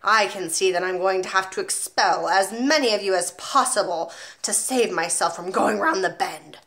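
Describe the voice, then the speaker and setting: A woman's voice: mid-range voice, normal speed, lightly acted. A woman reading aloud, voicing a huge, tyrannical headmistress who barks and bellows at children. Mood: scornful. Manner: barking, loud, contemptuous.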